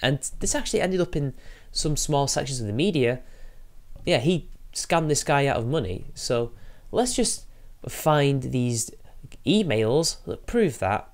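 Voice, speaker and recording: Casual male streamer, mid-pitched, a bit sniffly, rambling commentary to chat. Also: big pitch swings, measured pace. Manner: rambling, talks to chat while fiddling with software. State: sniffly from allergies.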